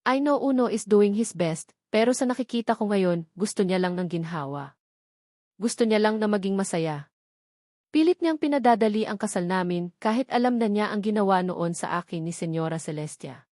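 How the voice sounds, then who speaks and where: A woman's voice: medium pitch, animated, normal speed, a little mellow, no echo, studio quality, close to an everyday voice. Anguished, protective young Filipino mother voiced by a woman. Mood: anguished, worried. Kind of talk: dramatized novel dialogue.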